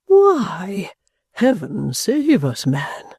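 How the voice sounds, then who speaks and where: A reader voicing a kindly, vehement gentleman guardian in an audiobook, speaking with earnest, drawn-out emphasis. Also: medium pitch, very animated, husky, no echo, studio quality, full character voice. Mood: exasperated.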